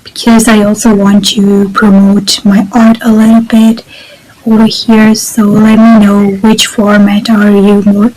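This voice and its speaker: A woman's voice: mid-range voice, monotone, unhurried, warm tone, low-quality recording. A young woman whose first language is not English, talking casually to camera in a rambling, hesitant way with many fillers. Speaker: vlogger. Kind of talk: casual personal vlog.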